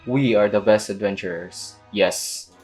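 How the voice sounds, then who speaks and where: A woman's voice: a bass voice, a little slow, music in the background. A woman gaming streamer reacting casually as she plays, with a slangy, blunt, offhand manner. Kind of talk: casual reactions and reading along while playing.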